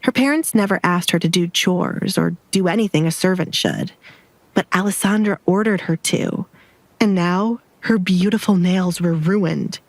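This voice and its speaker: Low-pitched woman narrating a story. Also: moderate pace, dry recording. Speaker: audiobook narrator. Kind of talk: scripted dramatic story narration.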